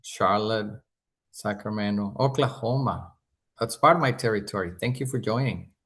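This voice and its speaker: Man with a Mexican accent, pitching. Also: normal speed, a bit bright. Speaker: hotel sales representative. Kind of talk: webinar sales presentation. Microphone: video call.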